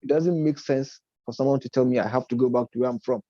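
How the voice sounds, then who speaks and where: Man arguing a point in a dark voice. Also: medium pitch, fairly even intonation, no room sound, highs cut off. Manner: argumentative, self-correcting, measured delivery. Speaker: speaker on politics and religion. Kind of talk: unscripted lecture-style commentary.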